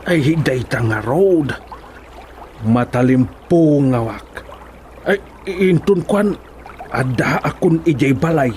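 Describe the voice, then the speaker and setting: Fretful, drawling country cousin. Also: male voice, fairly high pitch, lively intonation, takes it slowly, a little mellow, a little hiss or hum. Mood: reluctant. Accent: Ilocano. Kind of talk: radio comedy drama acting.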